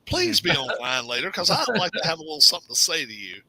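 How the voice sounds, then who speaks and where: A man's voice: fairly high pitch, wildly swooping pitch, quick pace, over a noisy crowd. A man hosting a racing stream, joking and swearing freely in casual banter. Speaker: stream host.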